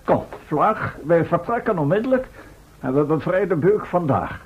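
A man's voice: a little high, lively intonation, normal speed, slightly rough. A man acting an older, stubborn and easily frightened professor in a Dutch radio play, speaking in an indignant, formal, sputtering way. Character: fussy, frightened, stubborn old male professor. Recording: muffled, noisy old radio play recording.

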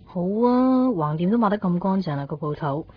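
A woman's voice: lowish voice, lively intonation, moderate pace, breathy edge. An actress playing a young woman torn in love in a radio drama, natural and emotional, with a Hong Kong accent. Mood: gentle. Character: young woman torn between lover and boyfriend. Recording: dull 1990s radio recording, faint music. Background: faint background music.

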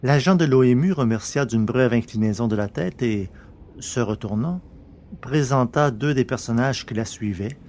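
Man, storyteller, mid pitch, scripted science fiction audiobook narration, measured, literary delivery. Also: darkish tone.

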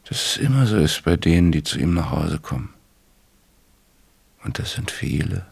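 A troubled, slightly drunk schoolteacher in a seventies radio play. His voice is deep and gravelly, heavily breathy. He talks haltingly, as if confiding. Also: very animated, no room sound, heavily acted. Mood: confiding, dazed. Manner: halting, low-key, confiding. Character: Richter, troubled, tipsy married male teacher. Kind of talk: 1970s German radio play acting.

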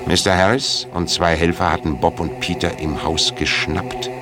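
Male narrator for radio play, deep voice, scripted storytelling, light music behind. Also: normal speed. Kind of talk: scripted audio drama narration.

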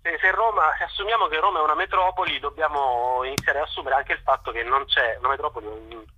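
A man being interviewed on radio, explaining his ideas haltingly, searching for words and repeating them, over thin phone-like audio. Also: fairly high pitch, pushed and bright, breathy edge. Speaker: interview guest, journalist.

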